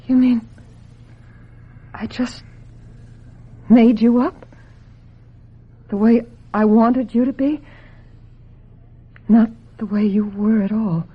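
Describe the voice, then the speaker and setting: A woman acting a wistful, needy young woman in a radio drama, with a dreamy, yearning, measured delivery. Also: on the high side, steady tone, husky. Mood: hurt, yearning. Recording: muffled old radio drama recording.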